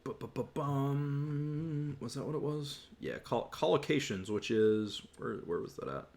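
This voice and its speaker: A man's voice: mid-range voice, measured pace, gravelly, a little mellow. A young man hosting a casual live Q&A, rambling with many restarts as he reads and answers chat questions. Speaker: YouTube host answering viewer questions. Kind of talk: casual livestream Q&A.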